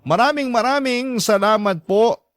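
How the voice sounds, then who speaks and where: A man's voice: extremely high pitch, moderate pace, a bit bright, no echo, studio quality. A man narrating a scripted first-person story as the host of a radio drama.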